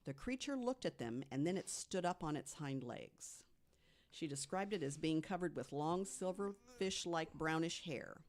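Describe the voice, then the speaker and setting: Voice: mid-range voice, lively intonation, a little slow. A podcast panelist reading prepared mystery stories aloud, with conversational asides.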